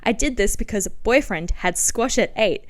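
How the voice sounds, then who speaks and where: A woman in her twenties with an Australian accent, hosting a podcast in a casual, confessional, self-mocking and animated way as she tells stories from her own life. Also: mid-range voice, moderate pace. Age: 20s. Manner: animated, self-mocking, giggly asides, frequent "like". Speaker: podcast host. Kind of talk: casual, confessional podcast storytelling and diary reading.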